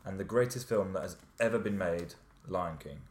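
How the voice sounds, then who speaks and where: A hungover man co-hosting a film podcast with dry, deadpan jokes. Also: deep voice, normal speed. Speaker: podcast co-host. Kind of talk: casual chat. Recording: echoey room with music underneath.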